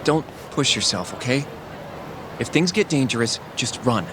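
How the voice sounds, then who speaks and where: A man's voice: mid-range voice, very animated, moderate pace, noisy background, natural voice. An actor voicing an earnest, flustered, protective young man in love with his coworker, in a video game dub. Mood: worried. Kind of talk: English game dub acting. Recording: music playing behind the voice.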